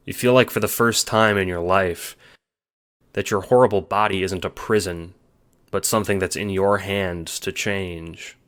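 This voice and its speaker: A man's voice: sits low, a bit bright. A man reading scripted second-person story narration, as the narrator of an audio drama.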